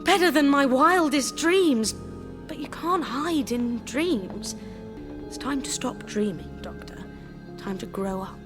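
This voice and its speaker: Brave young English adventuress, female, slightly high voice, wistful and earnest, audio drama with music. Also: lively intonation, slow pace. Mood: wistful, resolute.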